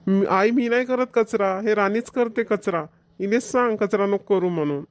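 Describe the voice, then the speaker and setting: A woman's voice: medium pitch, moderate pace, close to an everyday voice. A woman voicing a bossy, know-it-all schoolboy older brother in a Marathi cartoon comedy, with a rural Vidarbha accent. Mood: whiny. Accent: Maharashtrian, rural Vidarbha dialect. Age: child character, about eleven.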